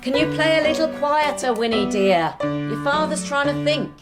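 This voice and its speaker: A placating wife in a period radio drama. Her gentle voice is fairly high and animated, with prominent music behind it. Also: normal speed.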